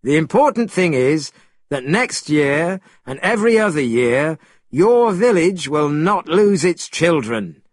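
A man's voice: fairly high pitch, very animated, unhurried, husky, no room sound, studio quality, voice pushed up, exaggerated voice. A man voicing a bold, bossy, suspicious monkey hero in a storybook, speaking in an emphatic, commanding way. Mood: assured, bossy.

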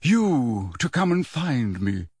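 A man voicing a melancholy old gentleman ghost for an audiobook, speaking slowly and formally with a weary, resigned air. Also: medium pitch, big pitch swings, husky, no room sound, studio quality, exaggerated voice. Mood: quiet, mournful. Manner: slow, formal, hesitant, sighing delivery. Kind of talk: dramatised audiobook character voice.

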